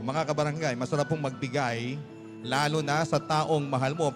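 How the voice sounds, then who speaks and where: Higher-voiced Filipino man narrating over clear music. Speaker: storytelling host. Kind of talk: scripted dramatic first-person narration in classic radio style. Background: music bed.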